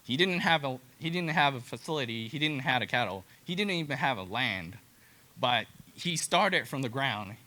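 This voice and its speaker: A man from Japan with a Japanese accent gives an informal educational presentation in halting, simple non-native English. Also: mid-range voice, lively intonation, sharp tone. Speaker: cattle genetics specialist, presenter.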